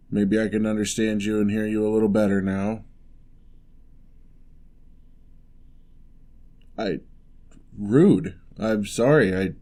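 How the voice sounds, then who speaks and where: A huge, gentle giant played by a man for an ASMR fantasy roleplay. He talks very slowly in a low, mellow voice. His manner is soft and courteous, with old-fashioned phrasing and a wry, teasing streak. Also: close to an everyday voice. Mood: apologetic, teasing.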